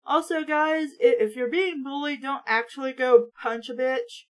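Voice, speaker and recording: A woman's voice: high-pitched, fairly even intonation, normal speed. A woman reading aloud casually with blunt, sweary, sarcastic running commentary in a ranting manner.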